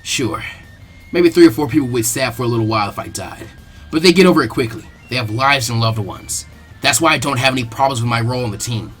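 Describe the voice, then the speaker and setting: A man's voice: medium pitch, fast talker, a bit bright, voice pushed down, natural voice. A man voicing a brash, stubborn, lonely boy ninja in a story reading, blunt and self-deprecating. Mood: bitter resignation. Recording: music behind the voice.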